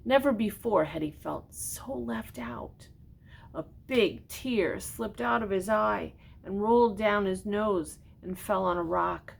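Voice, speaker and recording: An older woman reading a children's storybook aloud expressively, pausing for gentle, simply worded explanations. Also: creaky. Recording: noticeable background noise with low rumble.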